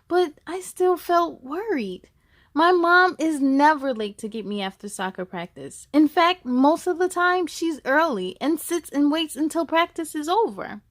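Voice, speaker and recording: A woman hosting a children's storytime, reading aloud in a cheerful, encouraging and expressive way. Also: sits high, dry recording, clean studio sound. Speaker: host of a children's read-aloud show.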